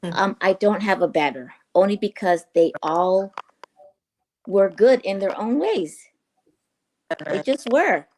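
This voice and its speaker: A woman co-host on a morning talk show who speaks candidly and in a relaxed way. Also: mid-range voice, takes it slowly, pauses often, poor audio. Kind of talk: casual radio talk.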